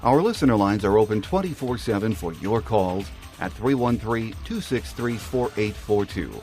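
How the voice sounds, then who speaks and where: A man's voice: on the low side, lively intonation, normal speed, a little mellow, soft background music. A man hosting a sports podcast, delivering a scripted game preview.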